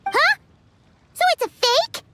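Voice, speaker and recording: A woman playing a tiny, childlike, cheeky fairy companion in an anime video game, with an excitable, squeaky voice. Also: slow pace, very noisy, full character voice. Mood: surprised. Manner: excitable, exclaiming, refers to self by name.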